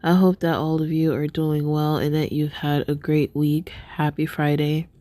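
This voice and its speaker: A woman's voice: low-pitched, level tone, unhurried, no room sound. A congested, sniffly woman hosting a podcast, talking earnestly and casually to her viewers. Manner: sniffly, soft-spoken, earnest. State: congested, stuffed-up nose. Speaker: podcast host.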